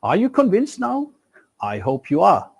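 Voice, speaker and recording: A middle-aged man delivers a recorded university lecture in an expressive way, tossing out rhetorical questions and leaning hard on key words. His voice sits somewhat high and rises and falls widely. The delivery is animated and emphatic. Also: measured pace, creaky, husky.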